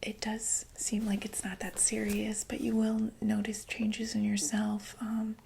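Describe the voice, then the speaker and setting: A woman playing a reassuring female doctor for monsters in a whispered ASMR roleplay, explaining gently and hesitantly in a breathy voice. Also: mid-range voice, monotone, unhurried, slight background noise.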